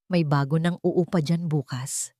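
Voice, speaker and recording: A woman's voice: low-pitched, fairly even intonation, unhurried, warm tone, no echo. A woman narrator voicing a weary landlady, firm and matter-of-fact, in an audio story.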